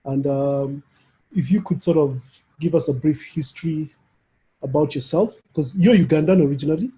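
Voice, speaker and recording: A man interviewing a guest in a casual conversational style, with hesitant, halting phrasing. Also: a little high, warm tone. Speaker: interviewer, summit organizer.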